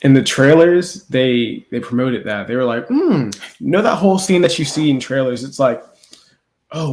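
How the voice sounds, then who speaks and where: Male podcast host, mid-pitched, exasperated and sardonic in casual chat, clearly echoey room. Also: darkish tone. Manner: exasperated, sardonic mock-quoting. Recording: roomy echoing sound.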